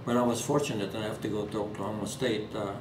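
An elderly man in his eighties from Norway, speaking English with a Norwegian accent in an oral history interview at home, halting with many fillers and restarts. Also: fairly low pitch, fairly even intonation. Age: 80s. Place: living room at home. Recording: echoey room, distant mic, background hiss.

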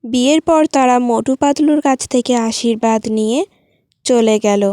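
A woman's voice: a little high, moderate pace, dark tone, no echo, studio quality. A woman narrating a scripted cartoon story.

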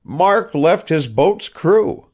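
A man's voice: a little high, big pitch swings, extremely slow, a little mellow, breathy edge, voice pushed up, full character voice. A man voicing a harsh old ship owner. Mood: curt. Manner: harsh, impatient, clipped. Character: shrewd older male whaling ship owner, curt, evasive. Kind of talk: audiobook character reading.

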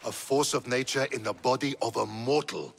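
An actor playing a grave, proud middle-aged Spartan general in a video game, measured and earnest. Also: male voice, mid-range voice, creaky, slightly rough. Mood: solemn, urgent. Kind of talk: video game cutscene acting.

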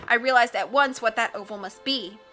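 A woman's voice: on the high side, fairly quick, bright, forceful tone, faint music behind. A woman reading aloud and voicing an earnest, bookish teenage boy who explains his reasoning.

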